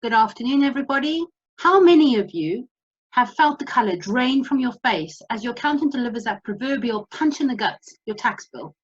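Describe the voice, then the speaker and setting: A South African woman gives a brisk, instructive presentation in a practical, matter-of-fact way. Her voice sits a little high and sounds somewhat husky. The audio is dull and lo-fi, like a video call. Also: lively intonation. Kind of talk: presentation talk.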